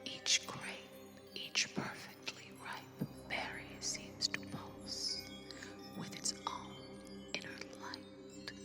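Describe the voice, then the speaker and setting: A woman whispering a story in a soft-spoken, hushed and deliberate ASMR style, close to a binaural microphone. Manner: slow, hushed, deliberate delivery with careful pauses. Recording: long reverb over music bed. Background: music.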